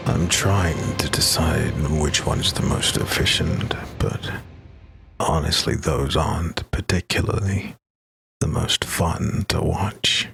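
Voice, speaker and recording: Man doing a silky villain surgeon, super deep gravelly drawl, cold and calm, music behind. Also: slow pace, heavily acted. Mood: amused. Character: silken cruel male mad-surgeon villain.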